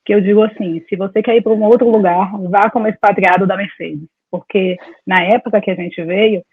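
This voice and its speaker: A woman's voice: mid-range voice, fairly even intonation, brisk, breathy edge, no room sound. A woman in her forties from Salvador, Brazil, a dentist talking as an interview guest over a video call, telling her story in a meandering, talkative way. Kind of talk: casual conversation over a call. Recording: dry, tinny phone-like call audio.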